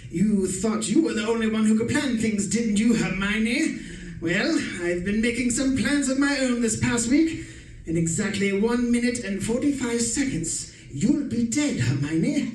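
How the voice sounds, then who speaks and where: Man as a calm, gloating wife-killer for a radio play, higher voice, roomy off-mic sound. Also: measured pace, distant mic. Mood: menacing. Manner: calm, menacing, taunting. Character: cold scheming husband planning murder. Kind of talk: amateur radio drama acting. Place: live stage performance. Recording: roomy stage recording from off mic.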